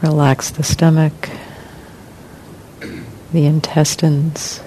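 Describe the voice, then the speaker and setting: Low-voiced woman guiding meditation, rumbly recording. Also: low-quality recording. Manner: calm, gentle, unhurried instruction. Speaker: meditation teacher. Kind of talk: guided meditation with long pauses. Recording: clear rumbling background noise.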